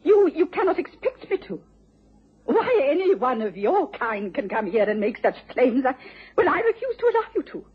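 Agitated, high-voiced proper wife. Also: female voice, very animated, husky, heavily acted. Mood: angry, indignant. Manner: halting, agitated, formal. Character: shocked, indignant doctor's wife, proper and emotional. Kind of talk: radio drama acting. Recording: muffled, noisy old radio recording.

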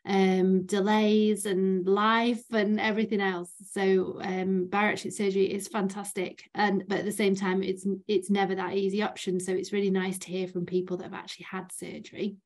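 A woman's voice: medium pitch, little pitch movement, moderate pace, few pauses, darkish tone. Chatty woman hosting an online session. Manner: friendly, reassuring, chatty. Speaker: session host. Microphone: video call.

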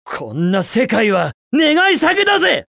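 An actor playing a cocky, upbeat young hedgehog hero in a video game, with a brash, casual, self-assured delivery. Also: male voice, very high-pitched, huge pitch swings, moderate pace, creaky, sharp tone, no echo, studio quality, exaggerated voice. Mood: taunting, confident. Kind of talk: Japanese video game voice clips.

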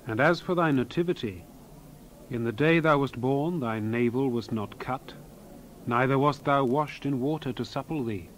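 An actor playing a solemn prophet who delivers God's reproach in a dramatized scripture reading, with grave declamatory delivery. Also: male voice, mid-range voice, moderate pace, slight background noise, lightly acted, poor audio. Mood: stern. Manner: grave, declamatory, archaic diction.

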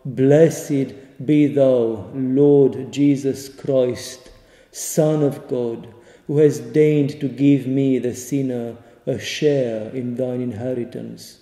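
A man reading the prayer of a male martyr amid flames, slow and solemn, with his own voice. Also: medium pitch, steady tone, warm tone. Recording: echoey hall reverb.

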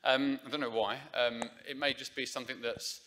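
A man's voice: mid-range voice, fairly even intonation, fairly quick, pushed and bright. A man, preaching an informal, chatty sermon at a church lectern, with many fillers and restarts. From Essex, England. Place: church sanctuary. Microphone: lectern microphone.

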